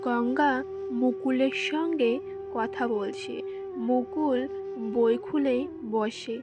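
A woman reading scene directions as scripted narration for an animated story. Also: high-pitched, slow. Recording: music behind the voice. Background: music.

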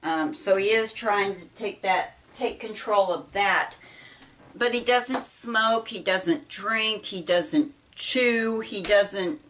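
A middle-aged woman with a Midwestern American accent, chatting casually while she folds laundry, talking haltingly with many ums and restarts. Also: medium pitch, a bit bright, slight background noise. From United States. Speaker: vlogger. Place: small indoor laundry room. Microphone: camera set apart from her. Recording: voice a bit far from mic.

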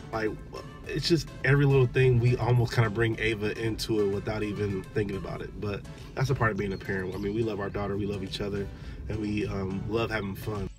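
Laid-back man chatting in a car. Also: on the low side. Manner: relaxed, chatty, many "um"s. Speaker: vlogger, father. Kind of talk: casual vlog chat. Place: parked car. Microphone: camera on dashboard.

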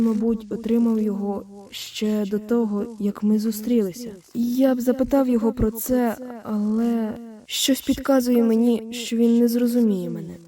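A woman voicing a concerned, thoughtful character's inner monologue in a comic dub, soft and reflective. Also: on the high side, close to an everyday voice.

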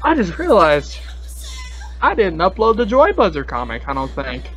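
A man's voice: high voice, wildly swooping pitch, normal speed, muffled recording, faint music behind. A young man chatting casually as a podcast host, with a playful, silly, teasing manner. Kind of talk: casual joking chat. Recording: noisy internet call recording.

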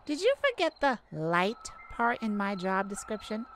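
A woman's voice: mid-range voice, sing-song, a bit bright, a little hiss or hum. A woman playing a haughty yet kindly goddess of light in an ASMR audio roleplay, theatrical and teasing. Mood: mock-offended, haughty. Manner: theatrical, teasing, mock-offended, with pauses.

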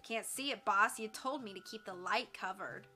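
A man's voice: high-pitched, normal speed, bright, forceful tone, faint music behind. Woman as a resentful cowboy henchman. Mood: defensive. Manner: sullen, retorting. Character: resentful male cowboy henchman, grudging and boastful.

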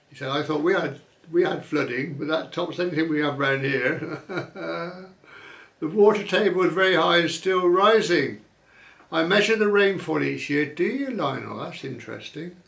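A man hosting a vlog, reading viewer comments aloud and musing on them in an easygoing way, often pausing with "uh". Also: fairly high pitch, gravelly, fairly bright tone, roomy sound. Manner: chatty, musing aloud, many "uh" fillers. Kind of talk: casual chat.

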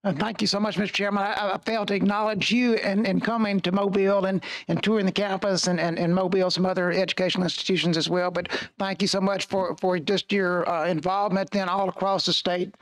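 A man with a fairly high voice speaks in a committee hearing, courteous and halting, repeating words and filling gaps with uhs. His voice sounds dry and close, with little room sound. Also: little pitch movement, a bit bright, no room sound. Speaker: state representative. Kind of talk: introducing guests at a hearing. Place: large legislative committee room.

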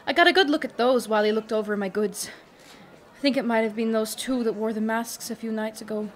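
A woman's voice: on the high side, fairly quick, slight background noise, natural voice. A woman playing an earnest elf market trader in an audio drama, speaking carefully.

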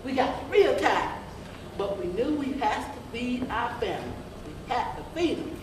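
A woman's voice: on the high side, sing-song, normal speed. A woman playing an old field-hand grandmother in a play, folksy, scolding and rambling, with a drawling delivery. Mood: rambling, tired. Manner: folksy, rambling, calls listeners baby. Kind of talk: amateur gospel stage play monologue, storytelling. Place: church sanctuary stage, mid-sized hall. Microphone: camera some metres away. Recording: roomy church hall, distant camera mic, audience noise.

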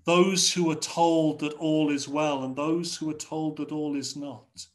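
Slow, measured English preacher on a video call. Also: male voice, fairly high pitch, little pitch movement. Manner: measured, rhetorical preaching with repeated phrases. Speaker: pastor. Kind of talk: sermon. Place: home study with bookshelves. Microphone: video call webcam.

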